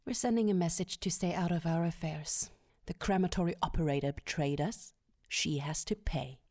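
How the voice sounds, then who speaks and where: A woman's voice: fairly low pitch, normal speed, a little mellow. A woman playing a cold, curt, middle-aged female crime boss in an audio drama. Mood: stern, impatient. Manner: curt, commanding.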